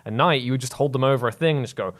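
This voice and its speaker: A man with a raspy voice co-hosting a gaming podcast in casual chat, animated and acting out little quotes. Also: medium pitch. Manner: quick, animated, acts out quotes. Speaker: podcast co-host.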